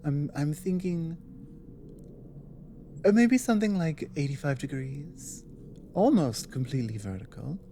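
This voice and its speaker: A woman playing an earnest, apologetic female dragon in an ASMR audio roleplay, explaining things hesitantly in a soft voice. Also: deep voice, lively intonation, unhurried, pauses often, a little hiss or hum. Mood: explaining, measured. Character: earnest, apologetic female dragon warning a human ally of war.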